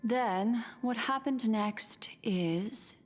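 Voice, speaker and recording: An actress playing a composed, observant mystic in a game, measured and poised. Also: female voice, fairly high pitch. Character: composed, observant mystic woman reading memories. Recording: roomy reverb with soft music.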